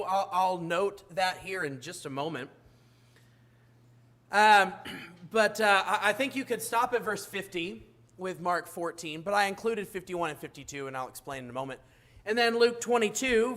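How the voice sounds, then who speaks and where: A hoarse man delivers a church sermon in a high-pitched voice. He keeps stumbling into fillers and restarts as he speaks. His voice sounds rough and strained. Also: fairly bright tone. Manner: halting, frequent uh fillers and restarts. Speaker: preacher.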